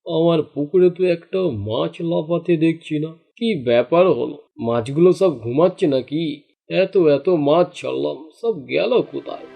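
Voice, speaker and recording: A man's voice: a little high, moderate pace, vocal fry, dark tone. A man voicing a jealous, greedy fisherman in a cartoon, grumbling and indignant in his delivery. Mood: worried. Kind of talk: cartoon dialogue acting.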